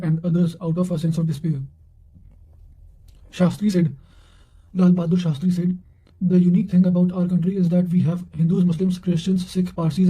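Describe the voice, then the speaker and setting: A man with a high-pitched voice and a very dark, soft-edged tone reads study material aloud. His intonation stays flat, and he reads haltingly, tripping over words and starting them again. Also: poor audio. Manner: halting reading with stumbles and repeated words. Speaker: narrator reading quotations for exam revision. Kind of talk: read-aloud of quotations and study notes.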